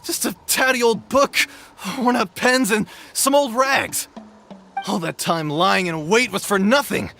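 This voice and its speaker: A man's voice: high voice, a little slow, vocal fry, bright, forceful tone, full character voice. A man voicing a cowardly bandit who sneers at first and then begs for mercy. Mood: defensive. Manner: mocking, then pleading. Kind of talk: game voice acting. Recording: game audio with music behind.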